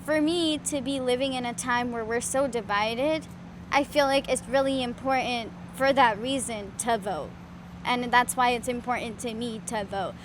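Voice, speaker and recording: A woman's voice: on the high side, normal speed, a little hiss or hum. An eighteen-year-old woman from California, searching for her words with lots of "like". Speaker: first-time voter interviewee.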